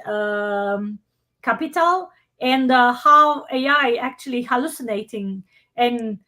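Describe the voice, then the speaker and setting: A woman presenting a workshop and answering questions over a video call. She speaks haltingly, searching for words in her second language. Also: fairly high pitch, a bit bright.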